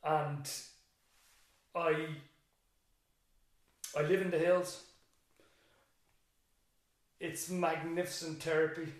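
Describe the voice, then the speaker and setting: A man's voice: fairly high pitch, little pitch movement, extremely slow, long pauses. An Irish man, a teacher, talking reflectively in a casual interview and building up emphatic lists. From Ireland. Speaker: teacher, podcast guest. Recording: very echoey room.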